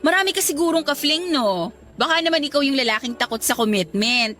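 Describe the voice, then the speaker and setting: Bold nosy filipina bestie, radio drama, animated and flirty, bit high, faint music under. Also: female voice, fairly quick. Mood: flirty teasing.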